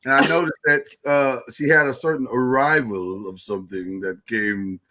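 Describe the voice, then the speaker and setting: A man's voice: normal speed, a crowd in the background. A man hosting a podcast in easy, joking chat with guests. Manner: relaxed, joking, says he has a very deep voice. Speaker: podcast host. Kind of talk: casual chat.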